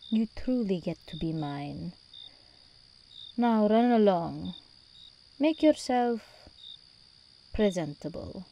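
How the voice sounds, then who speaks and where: An imperious demon princess, acted by a woman, purrs through an ASMR roleplay in a warm, relaxed, mid-pitched voice. She speaks slowly and regally, doting and possessive. Faint ambient noise sits behind her. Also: creaky, lo-fi sound. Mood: coaxing, possessive. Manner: regal, teasing, unhurried delivery with endearments.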